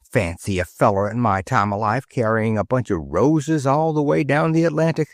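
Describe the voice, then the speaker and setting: A man's voice: mid-range voice, animated, normal speed, no echo, studio quality, close to an everyday voice. A man voicing a saucy male city sparrow with a Cockney accent, chirpy and drawn out. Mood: cheeky.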